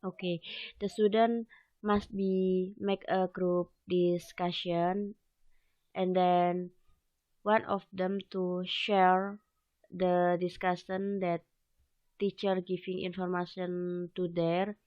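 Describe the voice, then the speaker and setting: Slow, halting young woman presenting. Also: lowish voice, flat delivery, no room sound, dull audio. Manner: hesitant, halting non-native English with frequent "okay" fillers. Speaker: student presenter. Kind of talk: academic presentation.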